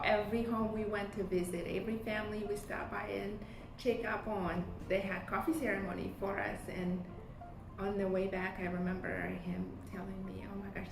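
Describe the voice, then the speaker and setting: A woman from Ethiopia, a business co-founder, talking earnestly in an interview, with many pauses and ums. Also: mid-range voice, vocal fry. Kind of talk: interview talk. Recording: roomy sound with music behind. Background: music.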